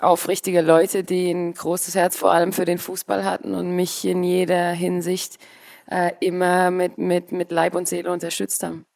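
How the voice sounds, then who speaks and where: A woman in her thirties from the Palatinate, a former footballer answering interview questions thoughtfully, often pausing to find her words. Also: fairly low pitch, vocal fry. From Palatinate, Germany. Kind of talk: casual interview.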